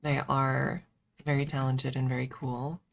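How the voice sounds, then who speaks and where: A woman streamer chatting casually in a soft, hesitant ASMR style with playful self-deprecation, close to the microphone. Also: very deep voice, flat delivery, a little slow, creaky. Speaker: ASMR livestreamer. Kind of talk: ASMR, casual rambling chat.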